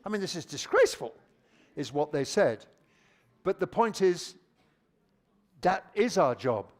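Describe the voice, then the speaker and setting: Older man giving a lively conference talk. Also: high-pitched, a little slow. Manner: lively, restates audience points, many restarts. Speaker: plenary speaker on teaching methodology. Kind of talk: live conference talk with audience discussion. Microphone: handheld microphone.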